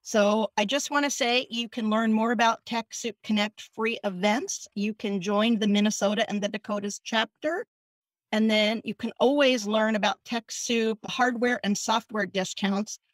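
A woman's voice: fairly high pitch, fairly even intonation, normal speed, dry recording, rough recording. A woman hosting and opening an online nonprofit event in a chatty, informal way over a video call. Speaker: chapter co-host. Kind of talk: event welcome.

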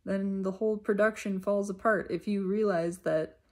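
A woman giving a reflective self-help monologue, unhurried and emphatic, often repeating her phrases. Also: mid-range voice, fairly even intonation, a little mellow.

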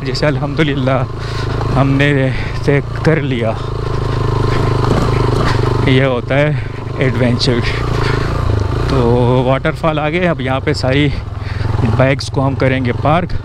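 A man's voice: mid-range voice, steady tone, takes it slowly, long pauses. A man vlogging an off-road motorbike ride up a mountain, exclaiming and praying aloud as he rides. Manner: exclaims and prays aloud while riding. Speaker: motovlogger. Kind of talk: excited off-road moto vlog narration. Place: outdoors on a mountain trail with motorbikes. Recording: loud outdoor vehicle noise. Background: loud engine and wind noise.

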